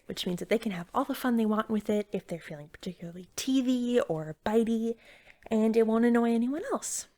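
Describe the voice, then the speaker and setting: A woman acting as a friendly young-sounding shop cashier in an ASMR roleplay, with a creaky edge to her soft voice. She drifts between gentle speech and whispering, rambling helpfully and eagerly as she serves a customer. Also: medium pitch. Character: eager, friendly female cashier at magical pet store.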